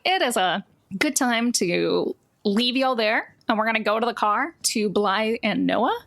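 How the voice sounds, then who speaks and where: Informal woman game master, slightly high voice. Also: animated, measured pace, gravelly, fairly bright tone. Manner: informal, conversational. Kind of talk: improvised tabletop game narration.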